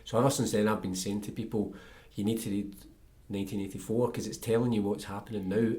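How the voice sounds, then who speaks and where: Fast-talking Scottish man hosting a chat. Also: on the low side, fairly even intonation, mellow. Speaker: podcast host, interviewer. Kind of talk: casual conversational interviewing.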